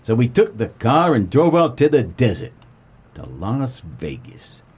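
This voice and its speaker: An actor playing a wry, world-weary 1940s private eye, narrating a comedic noir radio play. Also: male voice, mid-range voice, unhurried, husky, heavily acted. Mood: wistful. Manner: wry, deadpan, colloquial.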